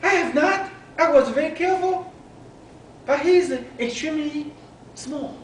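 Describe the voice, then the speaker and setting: A man voicing a small, excitable, defensive young girl in a children's storybook, earnest and emphatic. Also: extremely high pitch, unhurried, voice pushed up. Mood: indignant. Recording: echoey room, distant mic, background noise.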